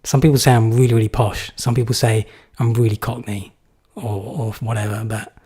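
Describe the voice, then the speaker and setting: Young man, from England, husky, casual rambling Q&A, middle pitch. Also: flat delivery, brisk, dark tone. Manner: casual, rambling, many fillers and restarts. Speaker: YouTube creator answering viewer questions. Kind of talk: live Q&A chat.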